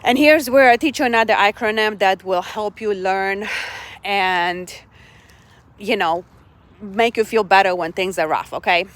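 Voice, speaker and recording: A woman's voice: medium pitch, unhurried. A middle-aged woman from Romania, giving casual life advice in an earnest way and often checking in with her listeners. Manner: earnest, keeps checking in with "okay?" and "right?".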